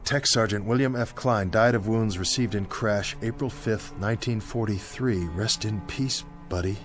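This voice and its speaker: An actor with an American accent playing a dazed, guilt-ridden young bomber captain in a radio drama, talking to himself in broken, searching phrases. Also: male voice, fairly low pitch, normal speed, faint music behind, close to an everyday voice. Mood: bewildered.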